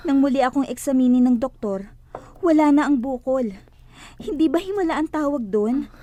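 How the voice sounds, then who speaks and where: A woman's voice: high voice, animated, normal speed, dark tone, no room sound. An actress playing a devout, hopeful woman who speaks earnestly and reassuringly in a religious radio drama. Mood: earnest, comforting.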